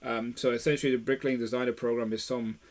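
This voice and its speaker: A man from Belgium hosts a casual live stream, walking viewers through deals in an easygoing, unscripted way. His voice sits at an ordinary middle pitch, and he often pauses on fillers and restarts his sentences. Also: level tone, darkish tone. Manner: chatty, many fillers and restarts.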